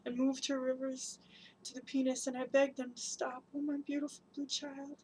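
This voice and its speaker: A woman giving a dramatic spoken-word performance, chanting long repeated lists in an incantatory way and swinging between hushed whispers and loud cries. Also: high voice, little pitch movement, moderate pace, a little hiss or hum, low-quality recording.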